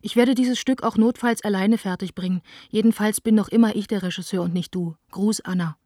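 Subdued, mellow young woman, frustrated and confiding an actress acts. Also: medium pitch, fairly even intonation, brisk, no room sound, clean studio sound, close to an everyday voice. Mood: curt, defiant. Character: Anna, frustrated, defiant young theatre director writing letters. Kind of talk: radio play, letters read as intimate monologue.

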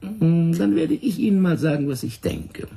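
Husky, sly, portly police interrogator. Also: male voice, a little high, sing-song, normal speed, dark tone, heavily acted. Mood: mocking. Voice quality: husky, gravelly. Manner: insinuating, sardonic, toying with his suspect. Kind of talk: radio play acting.

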